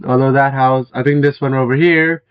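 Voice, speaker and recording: A young man with a hoarse, worn-out voice, chatting casually as he walks viewers through a house in a video game, with many fillers and throat clearings. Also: mid-range voice, steady tone, fairly quick, muffled recording. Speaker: gaming video host. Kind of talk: casual game walkthrough commentary.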